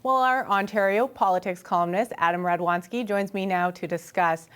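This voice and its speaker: A woman hosting a web news show, reading crisp scripted links and chatting conversationally with a guest on a studio mic. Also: mid-range voice, moderate pace, fairly bright tone. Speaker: host.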